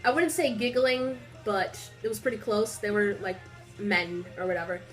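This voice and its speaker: A woman's voice: medium pitch, normal speed, soft background music, low-quality recording. A young woman with a stuffy nose chatting casually into a webcam in a small room, rambling with lots of fillers. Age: young adult. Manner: rambling, filler-heavy, animated storytelling. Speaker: vlogger.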